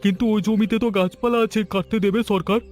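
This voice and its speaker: A man's voice: sits high, fairly even intonation, brisk, darkish tone, faint music behind, close to an everyday voice. A man voicing a hesitant, trusting villager in a Bengali cartoon story. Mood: doubtful, trusting.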